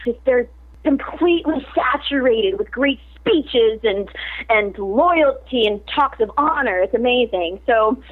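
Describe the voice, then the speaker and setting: A woman's voice: on the high side, expressive, a little slow, husky. A woman actress in a casual interview, talking animatedly and laughing often. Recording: tinny phone-like line.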